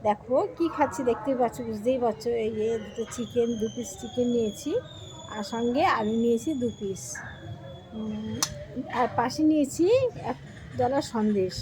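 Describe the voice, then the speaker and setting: A woman's voice: on the high side, moderate pace, background noise. A middle-aged woman from Bengal chatting casually to viewers while eating at home, calling them friends. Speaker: vlogger. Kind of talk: casual eating vlog chat.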